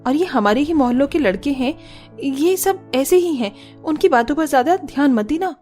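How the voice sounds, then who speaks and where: A woman's voice: sits high, animated, normal speed, darkish tone, faint music behind. A woman voicing a protective, bossy and teasing young sister-in-law in a cartoon. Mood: scolding, protective. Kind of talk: Hindi cartoon voice acting.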